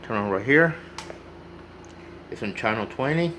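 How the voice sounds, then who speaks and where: A man's voice: mid-range voice, expressive, measured pace, very noisy. A man giving a casual, unscripted hands-on tutorial, explaining step by step and repeating himself as he goes. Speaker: hobbyist demonstrating CB radio repair.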